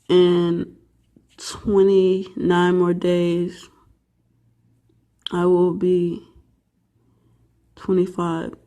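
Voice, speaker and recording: A woman's voice: on the low side, dark tone. A woman in her twenties talking straight to camera in a casual vlog, blunt and profane, rambling with lots of fillers and emphatic repeats. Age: 20s. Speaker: vlogger and aspiring rapper.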